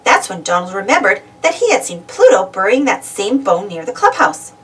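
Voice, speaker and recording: A woman reading a children's storybook aloud in an expressive, dramatic storytelling manner. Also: mid-range voice, normal speed, far from the mic. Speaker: storybook reader. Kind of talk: animated children's read-aloud narration.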